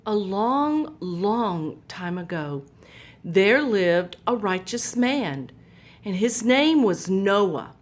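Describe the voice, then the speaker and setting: A woman hosting a children's story time, reading a Bible story aloud in a gentle, sing-song way. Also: medium pitch, animated, extremely slow.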